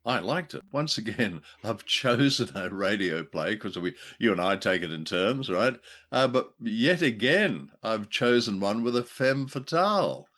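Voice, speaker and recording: A man co-hosts a podcast in a casual, chatty way. His voice is fairly low but lively, rising and falling freely as he talks. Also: a little slow, few pauses. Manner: chuckly, chatty. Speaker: podcast co-host. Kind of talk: casual discussion.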